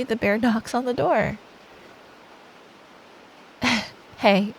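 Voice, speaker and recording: A woman's voice: fairly high pitch, creaky, poor audio. A woman telling a bedtime story in ASMR style as a girlfriend, sweet and playful, taking her time. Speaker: ASMR performer playing a girlfriend. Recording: faint rain noise behind. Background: soft rain sound effect.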